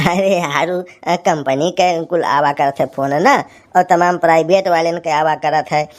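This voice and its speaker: Woman acting low-voiced, grumbling Awadhi village husband. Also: measured pace. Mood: pleading, defensive. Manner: rambling, grumbling, colloquial. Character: harried, pleading village husband and uncle, grumbling and talkative. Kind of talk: cartoon comedy dialogue.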